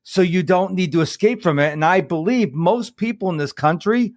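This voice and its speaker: A man's voice: high-pitched, normal speed, no room sound, studio quality. A man, hosting a podcast interview in an enthusiastic, motivational way. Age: 50s. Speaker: podcast host. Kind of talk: casual interview conversation.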